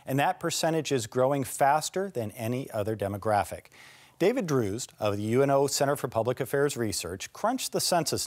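Middle-aged man, TV host, measured clear delivery, studio interview, mid pitch. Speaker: TV news host. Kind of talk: scripted presenting and interview questions. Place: TV studio set. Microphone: lapel.

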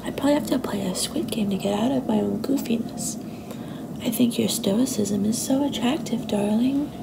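Woman acting young girlfriend, affectionate, a bit possessive, soft whispery ASMR delivery, gravelly creak, noisy ambient recording. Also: a little high, moderate pace. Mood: soft, musing. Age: young adult. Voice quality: soft, often breathy and whispery.

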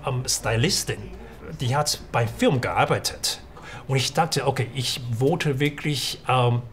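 Ein Mann aus Taiwan, Muttersprache Mandarin, mit taiwanischem Akzent, spricht im Interview in einem kleinen Restaurant zögernd, mit vielen Füllwörtern und Neuansätzen. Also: male voice, medium pitch, a little hiss or hum. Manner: hesitant, many fillers and restarts. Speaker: writer. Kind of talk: documentary interview. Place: small restaurant indoors.

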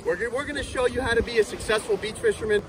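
A man's voice: very high-pitched, fast. A man in his thirties hosting a fishing channel, upbeat, demonstrating a cast net outdoors on a sandy beach and calling the viewers "guys". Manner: upbeat, repeats "guys" and "okay", talks while handling the net. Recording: outdoor beach noise behind the voice.

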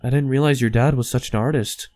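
Man playing a mellow, gentle young guy. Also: medium pitch, fairly even intonation, fast talker, dry recording, lightly acted. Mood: concerned. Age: young adult. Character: gentle, concerned young man helping a troubled friend. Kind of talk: animated comic dub acting.

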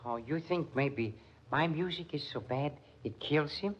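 An actor playing a suave, defiant concert musician with a Continental European accent and formal phrasing, in 1940s radio style. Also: male voice, fairly high pitch, breathy edge. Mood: suave, defensive. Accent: foreign, Continental European. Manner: formal, measured foreign phrasing. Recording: muffled 1940s radio recording.

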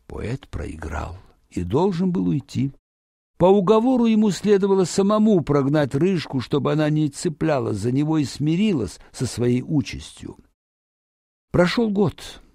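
A man narrating a fairy tale for children in an expressive, dramatic storytelling manner. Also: fairly high pitch, creaky, husky, no echo, studio quality.

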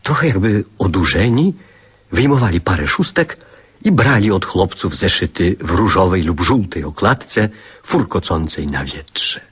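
A reflective older writer narrating a literary radio drama. His low voice is very husky, rising and falling widely as he lyrically recalls his youth. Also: lively intonation, a little slow, fairly bright tone, exaggerated voice. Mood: nostalgic, vivid.

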